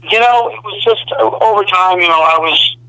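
A young man from Arizona, a band singer, talking casually in a phone interview with a low, slightly gravelly voice and lots of fillers. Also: moderate pace, poor audio. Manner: relaxed, rambling, many fillers.

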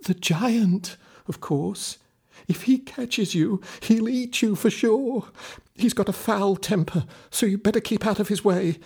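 A man voicing a nervous old woman servant in a storybook reading, with a high fluttery tone. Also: a little slow, creaky, mellow, slightly rough, heavily acted. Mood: warning.

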